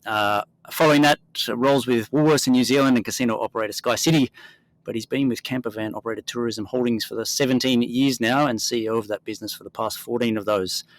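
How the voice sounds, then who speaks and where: A man from Australia, hosting an investing podcast and explaining in a measured conversational way. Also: medium pitch. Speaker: podcast host.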